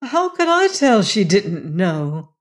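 A woman's voice: mid-range voice, big pitch swings, slow pace. A woman voicing a distressed, remorseful frontier woman in a storybook, pleading and exclaiming earnestly.

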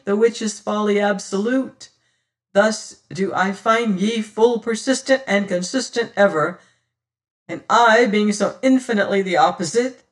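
Man voicing a sly, scornful pirate rogue, high and slow. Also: distant mic. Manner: taunting, lecturing, archaic seafaring speech. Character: sly, scornful, silver-tongued pirate rogue, mocking and self-assured. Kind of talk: dramatised audiobook reading of an adventure tale.

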